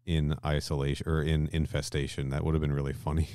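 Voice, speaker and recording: A man with a very deep voice talks casually as a podcast co-host. The sound is close and dry. He explains in a halting way, often rewording his phrases as he goes. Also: little pitch movement, warm tone, smooth, dry recording. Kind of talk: casual discussion.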